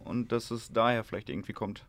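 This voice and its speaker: A man's voice: lowish voice, fairly even intonation, fast, gravelly, a bit bright. A man in a classroom discussion, thinking through an answer tentatively. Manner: thoughtful, tentative reasoning. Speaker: discussion participant.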